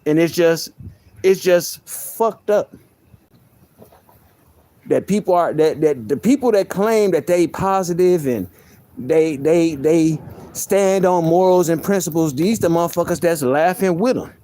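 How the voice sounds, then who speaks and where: A man with a soft voice, venting on a live stream in a heated, profane way, often repeating himself. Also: on the high side, a little slow, dry recording. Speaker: livestreamer.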